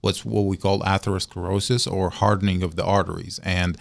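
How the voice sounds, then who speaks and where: A man explaining things in a hesitant, measured way as a guest in a radio interview. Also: low voice, level tone, vocal fry, no echo. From Syria. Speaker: guest, vascular surgeon.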